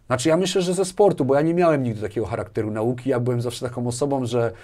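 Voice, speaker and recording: A man in his forties with a medium-pitched voice, chatting as a guest in an interview. He circles back over small words as he thinks and often ends on a short question seeking agreement. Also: fast, darkish tone. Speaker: interview guest, business manager. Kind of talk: casual conversational interview. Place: small furnished indoor studio, seated. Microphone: lapel mic.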